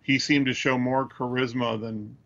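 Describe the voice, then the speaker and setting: An American man co-hosting a podcast over a dull remote connection, chatting casually and analytically, halting as he thinks aloud. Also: medium pitch, steady tone, gravelly, fairly bright tone. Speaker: podcast co-host. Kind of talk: casual chat, measured analysis.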